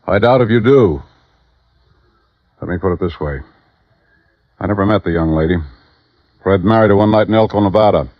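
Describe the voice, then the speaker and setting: A man's voice: deep voice, normal speed, long pauses, no room sound, close to an everyday voice. An actor playing a blunt, grieving, rich old man with an American accent in a 1950s radio drama. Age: older. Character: rich old dying millionaire, gruff, grieving his son. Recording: dull vintage radio recording.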